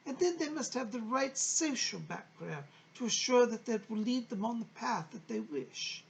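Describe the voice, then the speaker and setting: A gushing, genteel lady spirit played by a woman teaches with motherly affection. Her highish voice swoops theatrically up and down and drops into creaky tones as she delivers channelled wisdom. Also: sing-song, normal speed, low-quality recording. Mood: earnest, encouraging. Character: Rose, effusive, motherly female spirit from the early 1900s.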